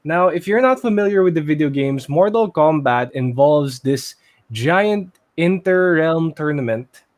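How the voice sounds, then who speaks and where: A man with a Filipino accent co-hosting a casual podcast, talking loosely and thinking aloud with pauses. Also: fairly high pitch, animated. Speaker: podcast co-host. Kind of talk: casual pop culture podcast chat.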